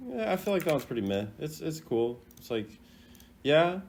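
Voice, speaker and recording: A man's voice: on the high side, very animated, moderate pace, darkish tone. A man doing casual reaction commentary as a gaming creator, thinking aloud in an informal, rambling way. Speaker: video game content creator ranking voice lines.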